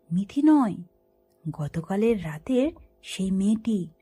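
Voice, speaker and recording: Woman storyteller, highish voice, reading a dramatic scripted story. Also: a little mellow. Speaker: story narrator.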